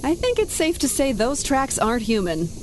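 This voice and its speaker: An actress playing a brisk, commanding submarine captain in an audio drama. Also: female voice, high-pitched, sing-song, background noise. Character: brisk young female sub captain. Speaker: lead character, submarine captain.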